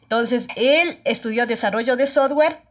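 Young Ecuadorian woman guest, animated explainer, slightly high voice, studio mic. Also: moderate pace. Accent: Ecuadorian Spanish. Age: young adult. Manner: animated, explanatory, uses her hands, checks in with "¿ya?". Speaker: guest, TikTok creator. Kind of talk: casual interview talk. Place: small podcast studio, indoors. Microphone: studio condenser mic on stand.

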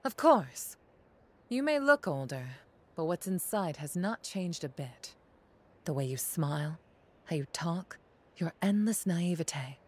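Stern, low-voiced military commander. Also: female voice, lively intonation, a little slow, darkish tone, husky. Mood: cool, disdainful. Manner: formal, clipped, imperious. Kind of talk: video game cutscene acting.

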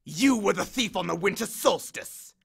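A man's voice: high voice, expressive, normal speed, gravelly, fairly bright tone, slightly rough, pitched above the performer's own voice, full character voice. A man voicing an angry, aggrieved male god of the dead who bellows complaints and threats in an audiobook. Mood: menacing. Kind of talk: audiobook character voice.

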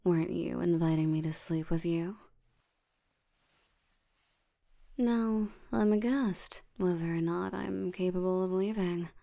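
A woman playing a lazy, sleepy, ancient demon in an ASMR roleplay, drowsy and unbothered, with a soft tired voice. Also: on the low side. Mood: sleepy, content. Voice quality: soft, breathy, creaky tired voice. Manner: drowsy, slow, drawling, unbothered. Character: sleepy, lazy female sloth demon, ancient but youthful.

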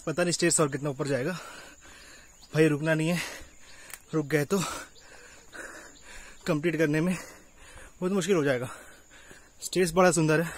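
A man's voice: on the high side, slightly rough. A young man in his twenties vlogging casually outdoors on a hike, thinking aloud and mixing in English phrases, recorded on a handheld phone. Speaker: travel vlogger. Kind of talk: casual vlog commentary while hiking. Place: outdoors on a green hillside and at rock caves. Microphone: phone camera held at arm's length.

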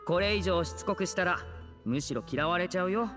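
Casting: male actor for composed young game magician, soft-spoken older-brother type; reverberant, faint music behind. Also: high voice, a little fast, lightly acted. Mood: gentle. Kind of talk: Japanese anime game acting.

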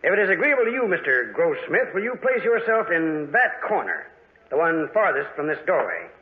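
A formal small-town man in a 1940s radio drama. His voice sits fairly high and moves around a lot. The sound is narrow and tinny. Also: very animated, moderate pace. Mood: formal, solemn.

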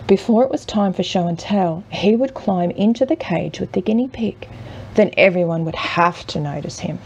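A woman reading a children's picture book aloud as a mother would, expressively and with dramatic pauses. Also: on the low side, dull audio, a little hiss or hum. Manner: measured, with dramatic pauses and hushed drawn-out words. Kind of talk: expressive children's storybook narration.

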